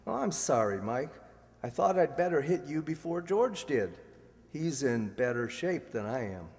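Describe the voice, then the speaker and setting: A man playing a suave, unflappable gentleman in a radio comedy, teasing in a wry, drawn-out way. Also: fairly high pitch, big pitch swings. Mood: breezy, sly. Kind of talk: 1940s radio comedy acting. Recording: echoey hall stage recording.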